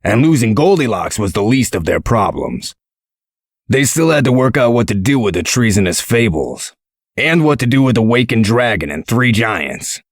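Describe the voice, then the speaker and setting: A man playing a gruff, protective male character in a comic dub, speaking in a measured, dry, explanatory way. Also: mid-range voice, big pitch swings, dry recording. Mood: calm, explanatory.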